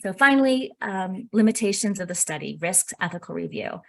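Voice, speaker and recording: A woman gives a webinar presentation over a computer microphone. Her pitch sits in an ordinary middle range, and her delivery is measured and earnest, with frequent pauses of "um" as she walks through her points. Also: expressive, vocal fry. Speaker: workshop presenter. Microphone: computer mic on a video call.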